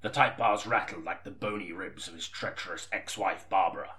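A man's voice: deep voice, brisk, pushed and bright, distant mic. A man playing a histrionic, easily flustered actor in an audio comedy, with a theatrical, grandiose delivery. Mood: grandiose, brooding. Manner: theatrical, grandiose, prone to exasperated outbursts. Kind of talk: audio comedy sketch, dramatic narration.

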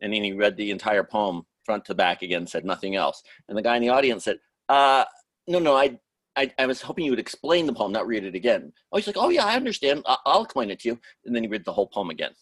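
Man, lowish pitch, halting, musing, anecdotal, casual discussion, dry acoustic. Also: big pitch swings, no echo. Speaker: guest commenter, writing teacher.

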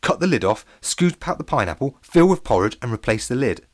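A man's voice: medium pitch, sing-song, a little fast, dry recording, clean studio sound. A man voicing a clever, precise schoolboy inventor who sounds confident, in a children's story.

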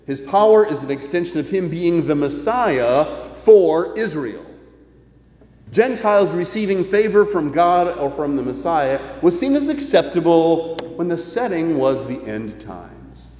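A man preaching a sermon in a deliberate, emphatic way, with rhetorical phrasing. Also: on the high side, sing-song, darkish tone. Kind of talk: sermon, partly reading quoted news aloud. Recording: echoey church hall, slightly dull sound.

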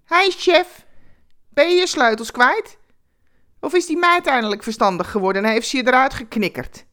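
A woman's voice: high-pitched, normal speed, pushed and bright, voice pushed up. A woman voicing a cheerful, chatty homeless man with folksy, familiar street talk in a told story. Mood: teasing, curious. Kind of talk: storytelling character voice.

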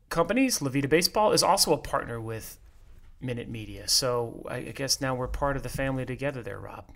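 A man's voice: mid-range voice, a bit bright. A man hosts a business podcast in an upbeat, conversational way. His voice sits in an ordinary middle range. He moves between reading an introduction and chatting freely with his guest. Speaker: podcast host. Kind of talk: podcast interview.

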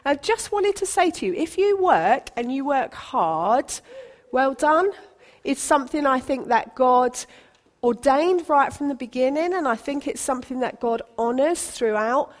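A woman's voice: sits high, lively intonation, a little slow. A woman pastor from Britain, preaching a sermon in a chatty, encouraging way with light humour. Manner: conversational, encouraging, self-deprecating humour.

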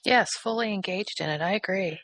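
A woman's voice: medium pitch, a bit bright, no echo. A woman hosting a radio interview, answering briefly and agreeably. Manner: slow, agreeable, short replies with laughs. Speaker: radio host. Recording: dull dry radio recording.

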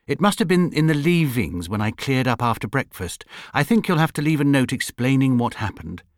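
A kindly, fretful storybook mother, as a male reader plays her. She is gentle and apologetic, with an expressive, moving pitch and a dry, close sound. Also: fairly quick, dry recording, close to an everyday voice. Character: kindly, flustered mother of a family.

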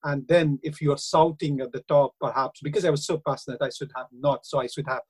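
A middle-aged man with an Indian accent, speaking earnestly and haltingly in a radio interview, with frequent hesitations. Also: a little high, fairly even intonation, darkish tone, breathy edge. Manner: earnest, hesitant, many uh fillers. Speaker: councillor and university lecturer.

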